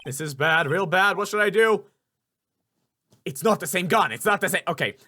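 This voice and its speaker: A young man voicing a game playthrough, thinking aloud in an excitable way. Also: high voice, normal speed. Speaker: voice actor playing a game. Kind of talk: let's play reaction commentary.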